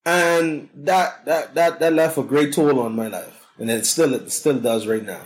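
Man, Bahamian accent, boisterous, talkative, fairly high voice, repeats words. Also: a little slow, a little hiss or hum, poor audio. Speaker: guest, cannabis consultant. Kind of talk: casual storytelling interview.

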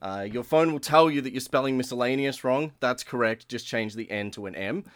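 A man's voice: medium pitch, brisk, a bit bright, smooth. A man appearing as a guest on a podcast, speaking hesitantly with fillers.

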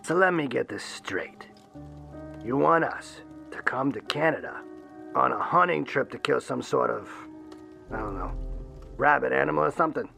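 A man playing a cocky, menacing gang leader in an audio drama, taunting with drawn-out sarcasm. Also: medium pitch, expressive, gravelly, bright, forceful tone, faint music behind, exaggerated voice. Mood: sly, casual. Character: cocky, mocking, menacing tough-guy male gang leader.

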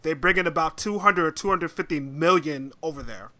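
A man co-hosting a podcast with casual commentary, emphatic and quick to jump in. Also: fairly high pitch, bright, forceful tone. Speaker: podcast co-host.

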